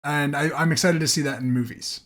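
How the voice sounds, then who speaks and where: A man's voice: a little high, a little fast, fairly bright tone. A man co-hosting a podcast, running through entertainment news in casual, enthusiastic chat with some easy swearing. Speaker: podcast co-host.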